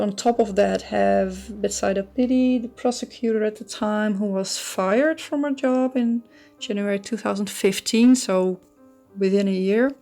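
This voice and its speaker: Dutch-accented woman with a gravelly voice. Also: medium pitch, a little mellow. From Netherlands. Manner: chatty, thinking aloud with frequent ums and restarts. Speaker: true crime channel host. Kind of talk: book review narration.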